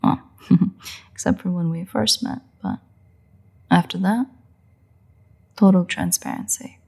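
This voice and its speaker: A woman playing a playful, sardonic, bloodthirsty but secretly caring vampire in a roleplay audio drama, with wry, husky, often breathy delivery. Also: fairly low pitch, steady tone, dark tone, full character voice. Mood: taunting, defiant. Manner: wry, teasing, unhurried delivery with pauses.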